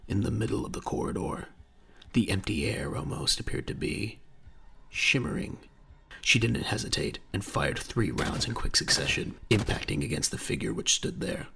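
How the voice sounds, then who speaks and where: A man with a gravelly voice narrating a dramatic audio drama in a grave, measured storytelling manner. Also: expressive, sharp tone. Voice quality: very deep, gravelly. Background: faint music.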